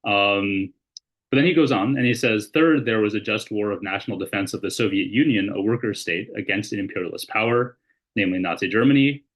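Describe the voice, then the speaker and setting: A man appearing as a podcast guest, explaining in a measured way and reading quotes aloud. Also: lowish voice. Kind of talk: casual discussion, reading quotes.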